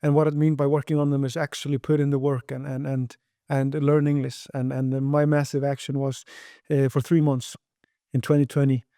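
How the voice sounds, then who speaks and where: A man's voice: medium pitch, level tone, fairly quick, warm tone, no echo, studio quality. A young Icelandic man speaking English as a second language in a podcast interview, earnest, with many repeated words and restarts. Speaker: guest entrepreneur.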